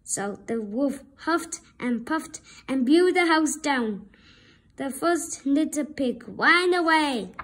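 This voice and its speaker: A woman reading a children's story aloud with deliberate, expressive narration. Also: high voice. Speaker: storybook reader.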